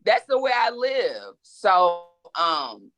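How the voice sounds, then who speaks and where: A woman's voice: mid-range voice, sing-song, takes it slowly, fairly bright tone. A woman in her forties, a guest author in a casual interview, speaking reflectively and with emphasis, often repeating words as she thinks. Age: 40s.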